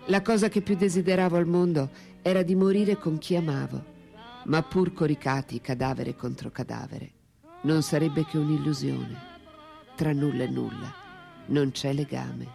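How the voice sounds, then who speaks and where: Radio drama role: a woman writer, grave and tender, creaky mid-pitched voice, composed delivery, faint music behind. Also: steady tone, normal speed, dark tone. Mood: grave, sorrowful. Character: French woman writer, grave, tender lifelong companion of philosopher. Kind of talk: radio drama acting and intimate narration.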